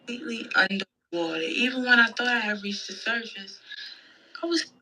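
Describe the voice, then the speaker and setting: A woman's voice: on the high side, fairly even intonation, moderate pace, sharp tone, a little hiss or hum, pitched above the performer's own voice, poor audio. A woman voicing a weary sea survivor in an audio play monologue, telling the story in a reflective, folksy way. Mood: solemn. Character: weary storm-tossed survivor recounting near drowning at sea.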